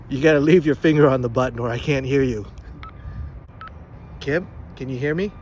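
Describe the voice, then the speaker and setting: A man's voice: medium pitch, normal speed, a little mellow, slight background noise. Casual man reviewing gear. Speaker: product reviewer.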